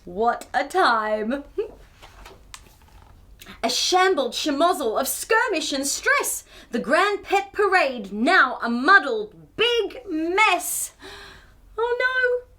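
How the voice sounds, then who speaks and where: A woman hosting a children's storytime, reading a picture book aloud in an expressive, theatrical way and chatting to young viewers. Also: high-pitched, very slow. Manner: expressive, theatrical, with gasps and exclamations.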